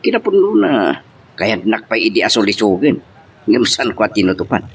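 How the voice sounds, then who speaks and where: A man's voice: on the low side, very animated, moderate pace, slightly rough, exaggerated voice. An actor playing a chatty, familiar man in an Ilocano drama. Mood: gossipy. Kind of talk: Ilocano radio-style drama acting. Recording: faint background noise.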